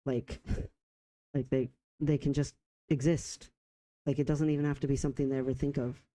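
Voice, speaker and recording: A man of about forty talking reflectively in a personal vlog, halting in short phrases with pauses. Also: medium pitch, flat delivery, warm tone. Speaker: vlogger.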